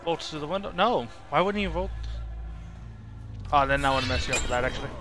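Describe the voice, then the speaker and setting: A man's voice: a little high, animated, a little fast, sharp tone, soft background music. A man doing casual live gameplay commentary as a streamer, rambling and thinking aloud. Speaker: video game streamer.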